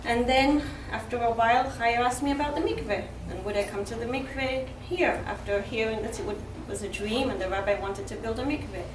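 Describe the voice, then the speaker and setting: Heartfelt woman speaking far from the mic. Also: fairly high pitch. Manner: heartfelt, a bit halting. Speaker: community member giving thanks. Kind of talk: personal tribute. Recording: echoey hall from far off, some noise.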